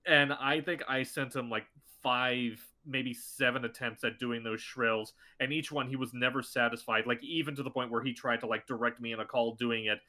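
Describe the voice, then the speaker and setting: A man's voice: mid-range voice, steady tone, normal speed, hardly any pauses, sharp tone. A man who is a voice actor, chatting as a podcast guest and telling stories in a loose, rambling way with many fillers. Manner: rambling, filler-heavy storytelling. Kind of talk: casual podcast chat.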